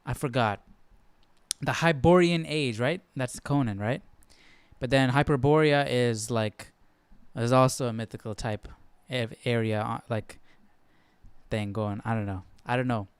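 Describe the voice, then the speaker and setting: Rambling man thinking aloud, dry and clear. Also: mid-range voice, unhurried, no echo. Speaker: Bible study livestream host. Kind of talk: casual musing commentary.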